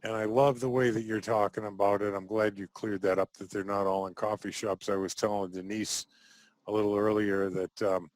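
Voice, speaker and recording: A man hosting a casual interview, chatty and quick to laugh, often ending thoughts with a questioning "right?". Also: lowish voice, flat delivery, darkish tone, low-quality recording. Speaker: interview host.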